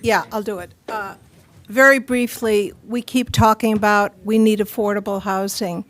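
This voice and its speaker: A woman with a mid-range voice speaks up at a city board meeting. She is blunt and emphatic, pressing each point firmly. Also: medium pitch. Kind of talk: brief comment at a public meeting.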